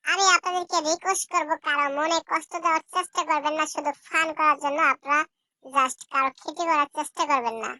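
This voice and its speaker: A very high, childlike voice put on by a man with a voice changer effect. It is bright and sharp, with a dry, close sound, delivering scripted narration. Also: fairly even intonation, quick pace, no room sound, exaggerated voice. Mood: chirpy demo. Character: childlike squeaky altered voice from a voice changer. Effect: pitch-shifting voice changer.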